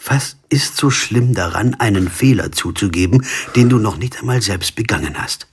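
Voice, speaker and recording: An actor playing a stubborn, principled lawyer who tells a radio crime story in measured, literary German prose style. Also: male voice, fairly low pitch, animated, slight background noise, lightly acted. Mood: firm confrontation. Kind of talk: first-person radio crime drama narration and dialogue. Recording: music behind the voice.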